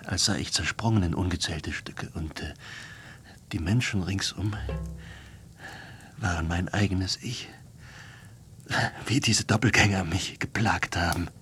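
Eccentric lovesick artist, low voice, halting, musing, radio-drama style. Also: male voice, fairly bright tone. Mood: troubled, musing. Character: sleepless brooding male artist, eccentric and lovesick. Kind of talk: German radio play acting.

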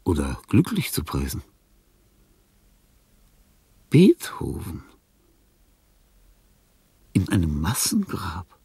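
An actor playing a lonely, dying, famous old composer in a radio drama, reflective and pompous, with a husky, gravelly voice. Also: male voice, low-pitched, huge pitch swings, dark tone, no echo, heavily acted. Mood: reminiscing. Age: older. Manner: declamatory, pompous, pausing, emphatic.

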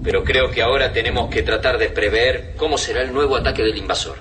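An Argentine actor playing a grave, emphatic scientist who argues for resistance in a radio drama about alien invaders. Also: male voice, mid-range voice, quick pace, a bit bright, slight background noise, natural voice. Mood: grave, worried.

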